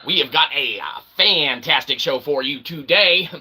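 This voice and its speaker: A man's voice: fairly high pitch, animated, normal speed, bright, forceful tone, breathy edge. An American man hosting his own channel, delivering a rambling, sarcastic comedic monologue full of fillers and asides. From United States. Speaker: channel host.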